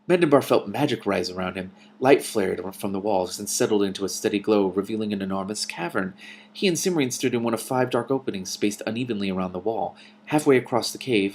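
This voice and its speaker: Low-voiced man reading a novel aloud. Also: a little mellow, slight background noise. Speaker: reader and commentator.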